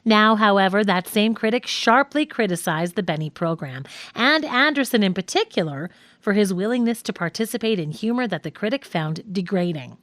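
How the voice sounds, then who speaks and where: A woman reading a scripted nonfiction audiobook as its narrator, in an articulate, measured manner. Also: mid-range voice, expressive, fairly bright tone, no echo.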